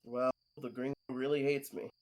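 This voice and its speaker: A man reads a tipsy, sheepish animal guy from a visual novel. His voice is medium in pitch and his lines come out offhand and casual. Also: level tone, moderate pace, natural voice. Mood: subdued. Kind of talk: visual novel character reading.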